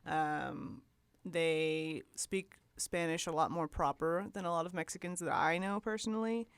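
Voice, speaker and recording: Brief: young Texan woman guest, relaxed and chatty, dry close sound. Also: fairly low pitch, unhurried, clear, resonant tone, no echo. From Texas. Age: 20s. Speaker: guest musician. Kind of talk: casual podcast chat.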